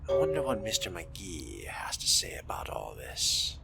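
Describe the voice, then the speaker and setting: A man giving sardonic, theatrical in-character commentary on a video game, with deliberate phrasing and a gravelly, breathy voice. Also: deep voice, huge pitch swings, in a whisper. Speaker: role-play game player narrating in character.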